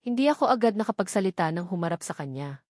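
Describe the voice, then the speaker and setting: A woman's voice: mid-range voice, big pitch swings, fast talker, darkish tone, dry recording, clean studio sound. A woman with a Filipino accent reading a dramatic first-person novel as an audiobook narrator.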